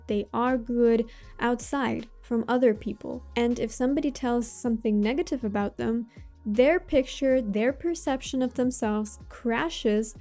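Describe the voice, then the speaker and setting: Higher-voiced woman with a Russian accent. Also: dark tone. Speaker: host of a self-improvement channel. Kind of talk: motivational advice talk to viewers.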